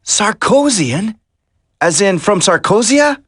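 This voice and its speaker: A loyal young commando hero in a video game drama. His earnest, emphatic voice swings widely in pitch and is recorded dry. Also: sing-song, slow, a bit bright, no room sound, full character voice. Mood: pained, incredulous.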